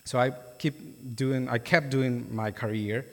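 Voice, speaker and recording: A man's voice: mid-range voice, fairly even intonation, slow pace, vocal fry. A young adult man from Colombia with a Colombian Spanish accent, a native Spanish speaker, giving his testimony as a guest preacher in a church, speaking informally with many fillers and restarts. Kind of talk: sermon testimony. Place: church sanctuary. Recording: long hall reverb.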